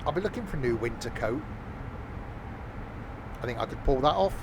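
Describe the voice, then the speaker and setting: A man live-streaming a train simulator, chatting loosely with his viewers and filling pauses with lots of ums and uhs. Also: medium pitch, noisy background. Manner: relaxed, rambling, many fillers, chats with viewers. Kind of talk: casual live-stream chat.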